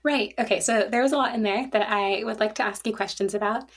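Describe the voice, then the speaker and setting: A woman's voice: medium pitch, fast. A woman interviewing a guest in a casual, conversational style. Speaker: interviewer, host.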